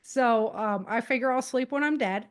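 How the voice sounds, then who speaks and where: A woman's voice: fairly high pitch, normal speed, clear, resonant tone. A middle-aged American woman giving conversational interview answers in an earnest, emphatic and informal way. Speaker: podcast guest, author and self-defense teacher.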